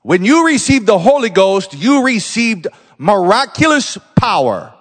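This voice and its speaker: A man preaching as a visiting missionary, telling healing stories and declaring loudly, rising to exclamations. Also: high-pitched, sing-song, unhurried, in a large hall. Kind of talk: pentecostal sermon.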